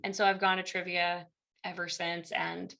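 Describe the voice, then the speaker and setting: A woman's voice: lowish voice, little pitch movement, moderate pace, creaky, bright, forceful tone. A young woman from Illinois with a Midwestern accent, a university instructor chatting as a guest in an interview and acting out the conversations she recounts. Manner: chatty storyteller who acts out quoted exchanges. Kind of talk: casual interview chat.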